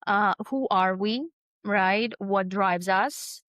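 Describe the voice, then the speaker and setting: A woman's voice: medium pitch, takes it slowly, a bit bright, no echo, studio quality. A middle-aged woman, answering interview questions thoughtfully, with many fillers and tag questions like "right?" and "okay?". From Russia. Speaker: author guest.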